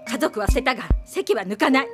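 An actress playing a director's scornful screenwriter daughter in a radio drama, with a biting, confrontational, scolding manner. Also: female voice, sits high, animated, fast talker, music in the background. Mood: bitter, goading.

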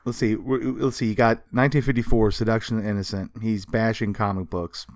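A man co-hosting a casual, comedic history podcast, telling stories from notes in an animated way with casual swearing. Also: fairly low pitch, brisk, no room sound, studio quality. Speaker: podcast co-host.

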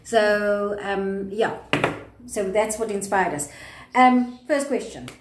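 A woman's voice: medium pitch, slow. A middle-aged woman with a South African accent, interviewing conversationally as a podcast host. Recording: echoey room, faint background noise.

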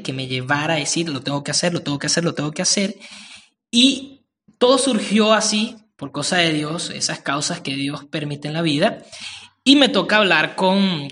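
A man hosting a casual podcast interview, speaking in a warm, rambling way with frequent hesitations. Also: fairly high pitch. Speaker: podcast host.

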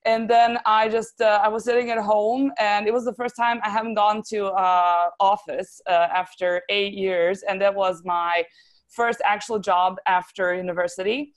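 A woman's voice: medium pitch, fairly even intonation, moderate pace. Serbian-accented woman chatting informally. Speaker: interview guest, translation agency founder. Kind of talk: casual interview conversation.

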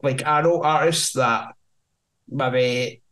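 A man's voice: medium pitch, slow, fairly bright tone. A man with a Scottish accent hosting a podcast, easygoing and chatty as he agrees and adds his own points. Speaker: podcast host. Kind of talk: casual podcast chat.

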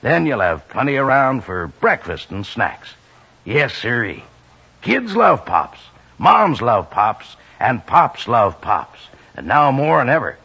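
A man delivering a folksy, enthusiastic sales pitch in 1950s radio advertisement style. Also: big pitch swings, slow, creaky, sharp tone, husky. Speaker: commercial pitchman. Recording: muffled old radio recording.

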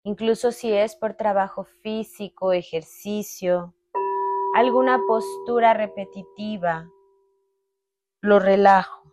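A woman guides a meditation at a very slow pace. She speaks calmly in short phrases, leaving long pauses between them. Soft music with sustained tones plays behind her medium-pitched voice.

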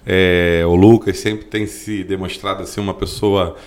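A man's voice: sits low, flat delivery, moderate pace, slight background noise. A man, a podcast guest telling his life story in a rambling, earnest way with lots of filler tags. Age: 40s. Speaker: guest, driving school owner. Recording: roomy podcast room.

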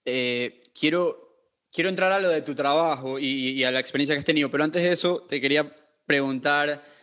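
A man's voice: fairly high pitch, a little fast, a bit bright. A man hosting a podcast, asking questions with small stumbles and repeats. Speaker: podcast host. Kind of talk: casual interview questions.

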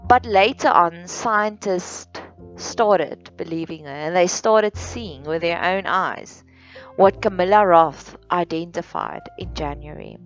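A woman from South Africa with an Afrikaans accent, hosting a solo podcast in a slow, earnest, deliberate way with many pauses. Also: on the low side. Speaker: podcast host. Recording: dull lo-fi sound with faint music.

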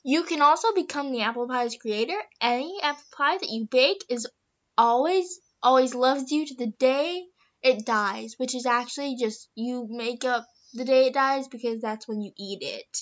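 A woman's voice: high voice, normal speed, lo-fi sound. A teenage girl co-hosting an improv comedy podcast, rambling in a playful, theatrical way. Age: teens. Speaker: podcast co-host.

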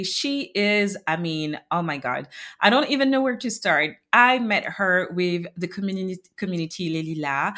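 Woman, lowish voice, podcast host, effusive, affirming, casual. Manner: effusive, warm praise, frequent "mm-hmm". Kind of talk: casual interview hosting.

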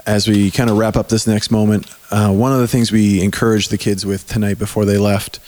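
A male podcast host talks in a loose, easygoing way, often restarting his sentences. His voice is hoarse and nearly gone, which leaves it sitting a little low. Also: level tone, brisk, a little mellow. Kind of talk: casual podcast chat.

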